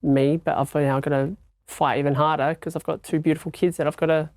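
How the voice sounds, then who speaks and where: A man talks as a guest in a casual interview, his voice a bit husky. He is plain-spoken and unhurried, with plenty of ums. The sound is dry and close. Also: on the high side, no echo. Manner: plain-spoken, relaxed, many fillers. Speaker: podcast guest.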